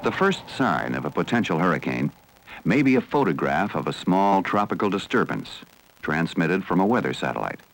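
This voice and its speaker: An American man reading scripted educational film narration in a measured, formal, serious manner. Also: low voice, very animated, gravelly, rough recording. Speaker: documentary narrator.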